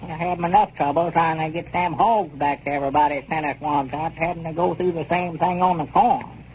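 Man acting a high-voiced rustic Southern storekeeper. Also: brisk, a little mellow, close to an everyday voice. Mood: worried. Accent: rural Southern US. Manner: rustic, drawling folksy talk. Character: folksy, excitable rural Arkansas storekeeper and town lawman. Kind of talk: 1930s radio comedy serial acting. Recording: dull, noisy old 1930s radio recording.

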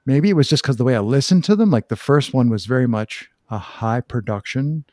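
A man's voice: medium pitch, expressive, a little fast, darkish tone, no echo. Man podcasting in a dry, close sound. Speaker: podcast host, interviewer. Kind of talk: casual thoughtful conversation.

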